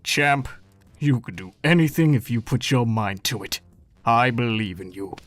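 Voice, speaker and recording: A man acting as an earnest dad in a web comic dub. His mid-pitched voice is emphatic and supportive, with a dry, close sound. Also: a little slow, a bit bright, no echo, close to an everyday voice. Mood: encouraging. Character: earnest, encouraging middle-aged father.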